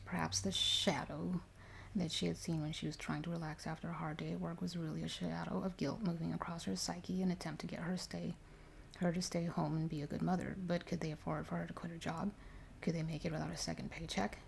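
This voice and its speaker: A woman reading a story aloud in ASMR style, soft-spoken and drifting into whispers. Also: fairly low pitch, little pitch movement, a little fast, gravelly, a little hiss or hum. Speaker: reader of paranormal stories for ASMR.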